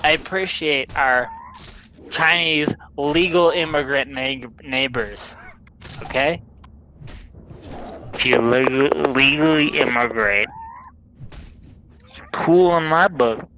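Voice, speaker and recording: A man's voice: mid-range voice, slow pace, long pauses, bright, forceful tone, a little hiss or hum, rough recording. A young man with a Southern American accent, drunk, chatting while he streams a game, rambling bluntly and swearing. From Southern United States. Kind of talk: casual chat while gaming.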